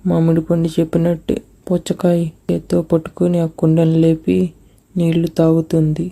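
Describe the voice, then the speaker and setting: Husky, low-voiced woman narrator. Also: monotone, moderate pace, warm tone. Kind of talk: scripted children's story narration.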